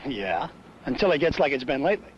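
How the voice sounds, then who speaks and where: A man's voice: medium pitch, very animated, fast, natural voice. A man playing an earnest, affable salesman in a 1970s sales training film, explaining things in an eager, folksy way. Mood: rueful. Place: mostly outdoors on a golf course. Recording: muffled, very noisy 1970s 16mm film sound.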